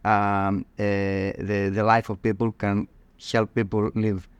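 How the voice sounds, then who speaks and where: A middle-aged man with a Greek accent speaks as an interview guest. His voice is low and clean, recorded dry. He answers reflectively, often pausing and repeating words as he thinks. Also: little pitch movement, clear, resonant tone, dry recording, highs cut off. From northern Greece. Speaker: podcast guest, cardiologist.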